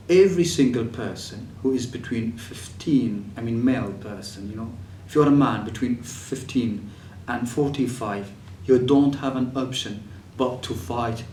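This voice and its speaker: A man's voice: medium pitch, a little mellow, a little hiss or hum. A young man from Syria, giving a personal testimony with many fillers and restarts. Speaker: refugee telling his story. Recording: echoey room, mic at a distance.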